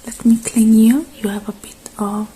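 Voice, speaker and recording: Soft whispery asmr lady, mellow n slow, reassuring, bit of faint noise in the background. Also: a little high, little pitch movement. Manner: reassuring, hushed, halting delivery in short phrases. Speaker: ASMR roleplay performer. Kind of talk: soft-spoken ASMR roleplay, alternating with whispering.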